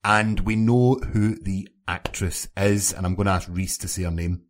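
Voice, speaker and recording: A man's voice: low-pitched, steady tone, moderate pace. A man hosting a casual podcast chat, giving his opinions and putting questions to his co-hosts. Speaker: podcast host.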